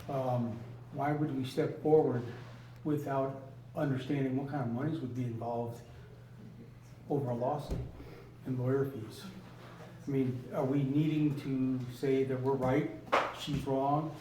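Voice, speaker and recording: A man speaking earnestly at a town meeting's public comment, often pausing with ums. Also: medium pitch, steady tone, background noise. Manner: earnest, hesitant, many ums and I means. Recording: echoey room, distant mic.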